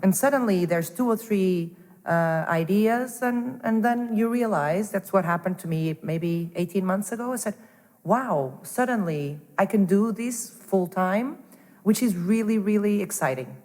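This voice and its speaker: A woman's voice: mid-range voice, unhurried, a little mellow, in a large hall. A woman from Portugal, a guest in a casual interview who talks animatedly, restarts her sentences often and says "you know" a lot. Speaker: guest, angel investor. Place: startup hub space.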